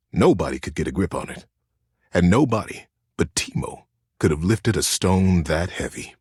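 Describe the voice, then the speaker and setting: Man, storybook narrator, hugely varied intonation, smooth clean voice, suspenseful delivery. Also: mid-range voice, dry recording, studio quality. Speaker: voice actor reading a children's story.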